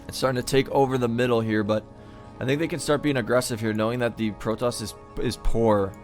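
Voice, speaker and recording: A man's voice: mid-range voice, steady tone, a little fast, faint music behind. Easygoing man casting a strategy game live. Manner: easygoing, thinking aloud with fillers. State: losing his voice. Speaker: game caster. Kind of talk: live esports commentary.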